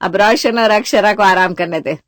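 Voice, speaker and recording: Woman playing a loud, good-humoured mother-in-law. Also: mid-range voice, moderate pace, no room sound, studio quality, lightly acted. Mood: mock scolding. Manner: mock-scolding, emphatic.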